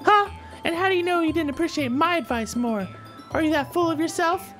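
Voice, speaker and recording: A man's voice: very high voice, a little fast, a bit bright, soft background music, voice pushed up. A man voicing a bratty, short-tempered anime schoolgirl, blunt and accusatory, reading a visual novel aloud. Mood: snappy, accusing.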